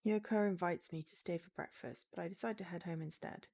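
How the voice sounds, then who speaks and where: A woman's voice: on the low side, steady tone, a little fast, darkish tone. A woman game streamer chatting live and reading game text aloud, breaking off for exasperated asides.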